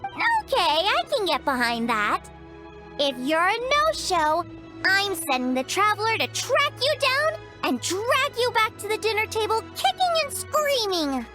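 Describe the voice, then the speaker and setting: A peppy young cook: very high, lively and chatty, music behind. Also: female voice, measured pace, exaggerated voice. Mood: cheerful. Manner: chatty, eager. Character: cheerful young woman cook, friendly and upbeat. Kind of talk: video game dialogue. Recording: game audio with music behind.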